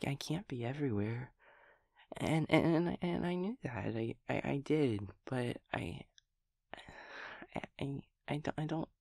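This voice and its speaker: A man's voice: mid-range voice, gravelly, darkish tone, dry recording, clean studio sound. A young man acting a sweet, scatterbrained, childlike empathy daemon in an intimate audio roleplay, stammering and repeating words. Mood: hesitant, earnest.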